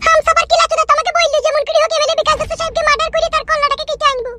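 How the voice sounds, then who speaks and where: A woman voicing a coaxing, complaining village housewife in a comedy cartoon, with a shrill whine. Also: fairly even intonation, rapid-fire, sharp tone. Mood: worried.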